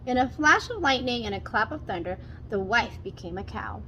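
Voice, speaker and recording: Female storyteller for expressive children's read-aloud, slightly high register, faint noise behind the voice. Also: moderate pace, muffled recording.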